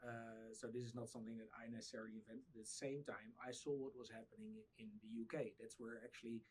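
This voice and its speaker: A middle-aged man from the Netherlands with a Dutch accent, answering interview questions conversationally and a little haltingly. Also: medium pitch, flat delivery, darkish tone, slightly rough, poor audio. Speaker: interview guest, startup founder.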